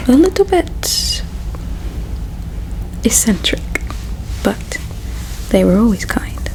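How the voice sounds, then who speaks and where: A woman performing a whispered ASMR roleplay as a regretful, solemn alien princess, with a breathy, hushed, halting delivery. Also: mid-range voice, slight background noise, poor audio. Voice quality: breathy whisper with soft creak. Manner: slow, halting, hushed storytelling.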